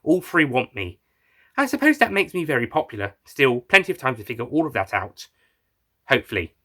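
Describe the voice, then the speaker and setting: A man narrates a first-person tale in a bright, forceful voice. He sits at an average pitch and reads from a script as a storyteller. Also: sing-song, a little fast. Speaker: writer and narrator reading own fan fiction.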